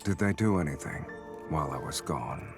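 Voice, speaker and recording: An actor playing a cold, mocking villain boss in a dramatic game, with a smooth, taunting, commanding delivery. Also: male voice, very deep voice, expressive, moderate pace, music in the background, exaggerated voice. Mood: mocking, unimpressed.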